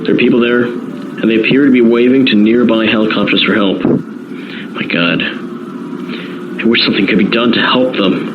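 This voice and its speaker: A man's voice: lowish voice, narrow, tinny audio, lightly acted. A man playing a shaken news reporter giving earnest, descriptive live commentary on a disaster in an audio drama. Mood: dismayed. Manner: descriptive, earnest, increasingly dismayed. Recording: noisy location with loud background ambience.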